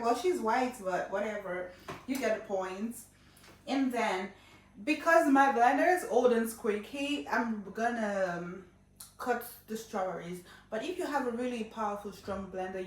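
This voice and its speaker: A woman's voice: on the high side, echoey room, recorded from a distance. A young woman with a Nigerian accent chatting playfully to viewers while cooking in her home kitchen, laughing often. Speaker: cooking vlogger. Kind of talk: casual cooking tutorial chat.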